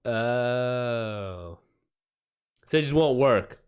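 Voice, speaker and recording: A man's voice: mid-range voice, expressive, clear, resonant tone. Casual, slangy man chatting on a livestream. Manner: informal, slangy, swearing, halting. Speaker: livestream host and writer. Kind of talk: casual livestream chat, reacting to viewer messages.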